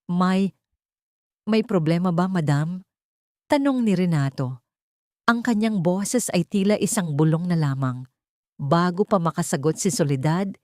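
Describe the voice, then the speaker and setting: Woman narrator doing a dramatic audio story, lowish voice, dry close sound. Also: darkish tone, dry recording.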